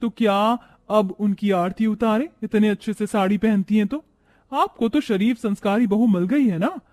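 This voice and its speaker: Guy playing sassy high voiced young city bride, haughty, defiant, drops english words, cartoon story. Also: normal speed, a little mellow, lightly acted. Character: brazen, haughty modern city daughter-in-law, young woman.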